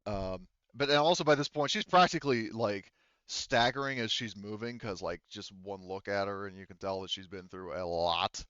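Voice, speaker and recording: A man working as game master, casually narrating a sci-fi tabletop roleplaying game in a halting, think-aloud way. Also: fairly low pitch, a bit bright, clean tone, dry recording, dull audio.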